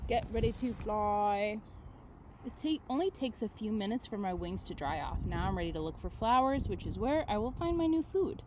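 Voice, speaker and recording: A woman teacher reading aloud to children, with expressive delivery and casual, chatty asides that put questions to her listeners. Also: medium pitch, normal speed, background noise. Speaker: elementary school teacher.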